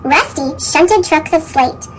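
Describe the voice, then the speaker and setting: A woman reading a children's story aloud in a squeaky, pitch-shifted chipmunk voice. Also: animated, slow, loud music behind the voice, poor audio. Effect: sped-up chipmunk pitch shift. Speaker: storybook reader.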